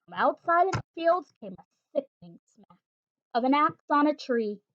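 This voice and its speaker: A woman reads a rhyming picture book aloud at home, her delivery bouncing along with each rhyme in a fairly high voice. The recording is dull and muffled at the top end and completely dry, with no room echo. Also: normal speed. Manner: animated, rhythmic rhyming delivery. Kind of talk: expressive read-aloud of a rhyming storybook.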